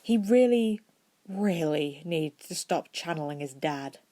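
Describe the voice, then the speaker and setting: A woman reading fiction aloud as an amateur audiobook narrator, with expressive storytelling. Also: fairly low pitch, measured pace, dry recording. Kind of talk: scripted narration of fan fiction.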